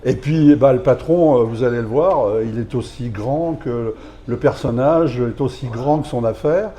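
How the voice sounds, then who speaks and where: A man interviews business owners for an entrepreneurs' association, speaking at a middle pitch. His tone is mellow and dark. He asks long, winding questions padded with fillers. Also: moderate pace, slight background noise.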